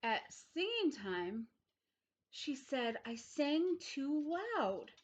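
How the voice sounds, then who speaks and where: High, animated woman reading a storybook. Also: very slow, slightly rough, muffled recording. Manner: dramatic emphasis on key words. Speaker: storybook reader. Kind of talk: expressive children's read-aloud.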